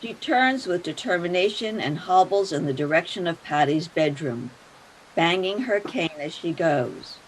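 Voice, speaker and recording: A woman's voice: on the low side, lively intonation, unhurried, slight background noise, poor audio. A woman narrator reading stage directions for a play in scripted narration.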